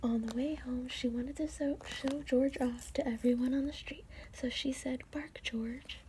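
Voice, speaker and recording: A woman reading a children's storybook aloud in a soft ASMR whisper, with playful asides. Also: high voice, steady tone, normal speed, slight background noise, low-quality recording. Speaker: storybook reader.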